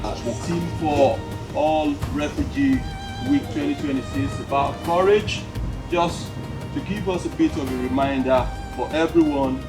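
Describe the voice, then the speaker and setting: A man hosts a community evening. His voice sits a little high, and he stops often with fillers as he speaks. Loud music runs underneath. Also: very animated, unhurried, recorded from a distance. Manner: hesitant, many fillers. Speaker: event host. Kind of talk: hosting a community event. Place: community hall event.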